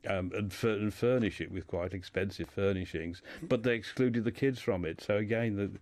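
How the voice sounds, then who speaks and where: A man's voice: fairly low pitch, moderate pace, darkish tone. A man speaking as a guest in a radio discussion, telling a life story informally and often repeating words as he builds his sentences.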